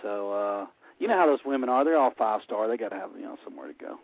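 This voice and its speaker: A man's voice: lowish voice, expressive, quick pace, no room sound. A man with an Alabama Southern accent, calling in and chatting casually on a radio show, folksy and full of fillers. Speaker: caller co-hosting the interview. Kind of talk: casual radio phone chat. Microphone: telephone. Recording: dry, tinny phone line.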